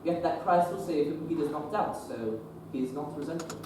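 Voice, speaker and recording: A man's voice: fairly high pitch, normal speed, natural voice. A young man acting an earnest, pious Victorian bishop in a stage play, formal and sermonizing. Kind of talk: teen stage play performance. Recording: echoey stage recording from far off, noisy.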